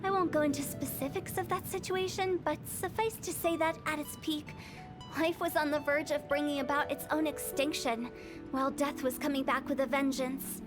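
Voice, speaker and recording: A woman plays a quirky teenage girl who runs a funeral parlor in a video game. Her voice is high and youthful, with theatrical, articulate phrasing and a playful, mysterious air. Music plays clearly underneath. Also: steady tone, moderate pace, natural voice. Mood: solemn, cryptic. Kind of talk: video game voice acting, storytelling.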